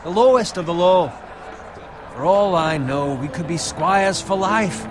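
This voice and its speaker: An actor playing a bitter, envious, foul-mouthed young squire in a medieval fantasy video game. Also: male voice, high-pitched, a little slow, background noise. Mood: bitter, sneering. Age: young adult. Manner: griping, sneering, swearing. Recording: music behind the voice.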